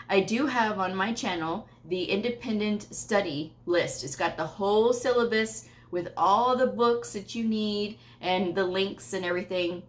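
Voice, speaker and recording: A woman in her thirties, a medical coding educator, giving firm, emphatic career advice straight to camera from a small home office. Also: medium pitch. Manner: firm, emphatic, repeats points with occasional laughs.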